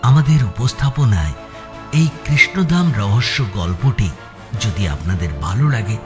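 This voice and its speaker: A man narrates a scripted suspense story in a measured storytelling manner. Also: fairly low pitch. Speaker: audio story narrator and channel presenter.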